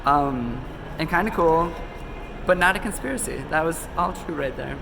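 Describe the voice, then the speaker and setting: A man's voice: on the high side, animated, normal speed, vocal fry, background noise. Sick-sounding man rambling casually. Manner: loose, chatty, many ums and self-corrections. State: a little sick, losing his voice. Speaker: conspiracy video host. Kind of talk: casual rambling talk to camera. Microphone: phone camera.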